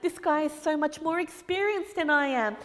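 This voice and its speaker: A woman voicing an excitable young boy karate student who pleads and protests. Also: sits high, a little fast, big-room sound, voice pushed up, lightly acted. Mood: pleading.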